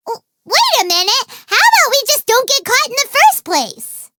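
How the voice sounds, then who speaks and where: A woman voicing a small, childlike fairy companion in a video game, excitable, cheeky and easily offended, with a squeaky, melodramatic delivery. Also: moderate pace, background noise, heavily acted. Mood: flustered, indignant. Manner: speaks of self in third person, exclamatory, melodramatic. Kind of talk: animated video game voice acting.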